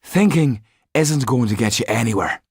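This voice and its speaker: A man's voice: mid-range voice, animated, moderate pace, a little mellow, breathy edge, no room sound, clean studio sound, pitched above the performer's own voice. A man voicing a blunt, scornful, outspoken male chef in an audiobook.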